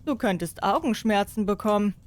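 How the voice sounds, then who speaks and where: A woman's voice: medium pitch, lively intonation, moderate pace, darkish tone, natural voice. A woman reading the part of a cocky male soldier in a storybook tale, blunt and self-assured. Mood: bold.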